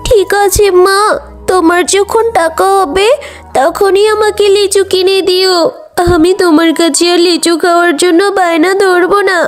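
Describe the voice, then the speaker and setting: A woman's voice: extremely high pitch, fairly even intonation, normal speed, pitched above the performer's own voice. A woman voicing an eager little animal girl in a cartoon, childlike and wheedling, with music behind. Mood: pleading.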